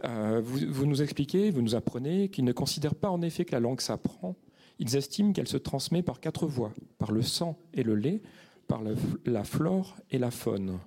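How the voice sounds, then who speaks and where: Guy hosting a book panel, lots of euh's, careful slow questions. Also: medium pitch, expressive, dark tone. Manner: measured, hesitant with many fillers. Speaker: moderator of a literary discussion. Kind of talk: panel discussion.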